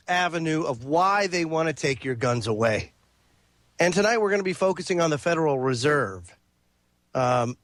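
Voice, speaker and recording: Congested talk radio host, slightly high. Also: male voice, a bit bright, no room sound. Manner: reads quotes and lists aloud, with fillers and restarts.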